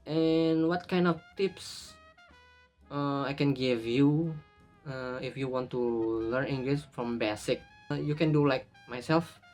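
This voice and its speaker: A man with an Indonesian accent and Indonesian as his first language, talking to camera in a casual vlog, halting and often correcting himself mid-sentence. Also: mid-range voice, fairly even intonation, darkish tone, faint music behind. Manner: halting, frequent restarts and self-corrections. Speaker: YouTuber.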